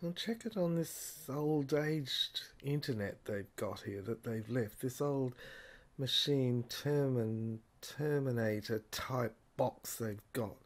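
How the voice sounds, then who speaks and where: A man playing a dry, muddled police officer in an amateur audio drama, muttering haltingly as he puzzles through files. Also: medium pitch, close to an everyday voice. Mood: muddled, musing. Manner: halting, muttering, often clearing throat. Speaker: actor in a serial audio drama.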